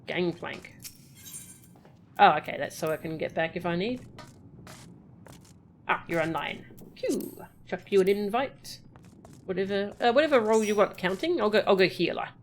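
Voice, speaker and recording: A woman's voice: medium pitch, animated, moderate pace, pauses often, slight background noise. A woman doing casual live gameplay commentary in a chatty, playful way, often muttering to herself and her chat. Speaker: game streamer.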